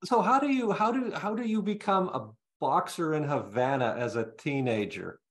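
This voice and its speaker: A man's voice: on the high side, expressive, moderate pace. A man working as a music interviewer, chatting casually with informal banter and hesitant phrasing.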